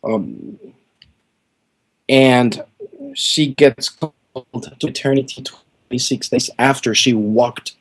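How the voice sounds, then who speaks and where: A man's voice: medium pitch, fairly even intonation, takes it slowly, pauses often, rough recording. Middle-aged man, interview guest; earnest and emphatic, circles back on words, mid-range voice. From Venezuela. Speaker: guest musician. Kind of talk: heartfelt interview answers.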